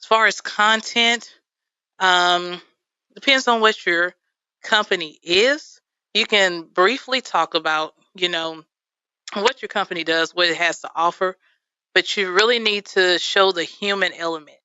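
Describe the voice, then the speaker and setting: Texan woman guest, radio interview, bright clear voice, chatty with fillers, dry and slightly dull studio sound. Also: on the low side, a little slow, no echo. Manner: chatty, many fillers, laughs easily. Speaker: videographer guest.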